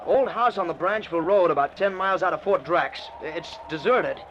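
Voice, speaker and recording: Actor as a high-voiced, nervous stranded man. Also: moderate pace, natural voice. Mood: nervous, polite. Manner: hesitant, stammering. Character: nervous stranded husband alone in a haunted house. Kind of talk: old-time radio suspense acting. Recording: muffled, noisy old radio recording.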